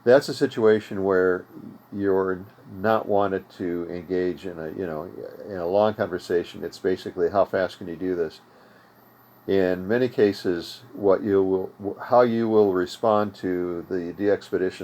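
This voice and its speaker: Older American male host, low-pitched, conversational explainer for an informal how-to series. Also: fairly even intonation, moderate pace, darkish tone. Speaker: host of an amateur radio tutorial series. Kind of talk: informal unscripted tutorial talk. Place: home radio room.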